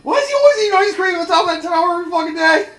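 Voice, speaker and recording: High-pitched, exclamatory man with animated swings. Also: racing. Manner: exclamatory, mocking. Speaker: co-commentator. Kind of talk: casual, sweary commentary over game cutscenes. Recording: echoey room, faint game music.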